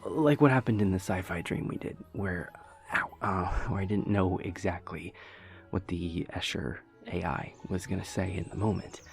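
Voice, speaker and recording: A man's voice: sits low, normal speed, vocal fry, soft background music. A young man playing a dorky, earnest boyfriend in an intimate audio roleplay, rambling hesitantly and nerdily with playful self-deprecation. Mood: thoughtful explaining. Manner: hesitant, rambling, nerdy explaining.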